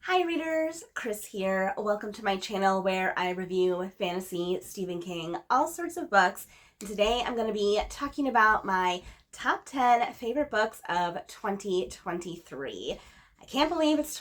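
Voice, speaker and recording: A woman's voice: mid-range voice, a bit bright. A woman reviewing books to camera, chatty and enthusiastic, a little sniffly from a cold she is getting over. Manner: chatty and enthusiastic, repeats "really". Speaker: book reviewer. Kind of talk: casual chat to camera.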